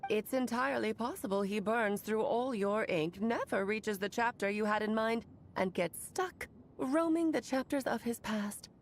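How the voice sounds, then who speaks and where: An actress playing a playful, affectionate magical woman in a video game, with theatrical, expressive phrasing. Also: on the high side, moderate pace, a little hiss or hum, close to an everyday voice. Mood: cautioning. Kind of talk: scripted video game voice acting.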